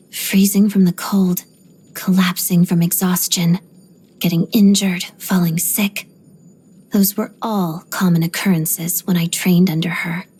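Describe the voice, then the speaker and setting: Casting: a stoic young female ballerina for a video game; soft, husky-breathy voice, restrained and precise, introspective monologues. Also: mid-range voice. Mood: wistful, bitter. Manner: measured, restrained, articulate. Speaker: video game character voice actor.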